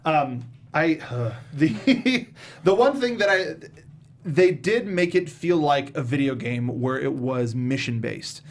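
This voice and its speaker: A man's voice: a little high, expressive, slow pace. A man hosting a casual panel discussion reviewing movies. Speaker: host.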